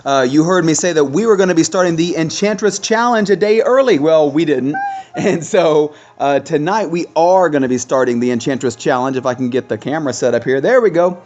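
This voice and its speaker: A man hosting a gaming video with casual, chatty commentary, thinking aloud with trailing pauses. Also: fairly high pitch, darkish tone, muffled recording.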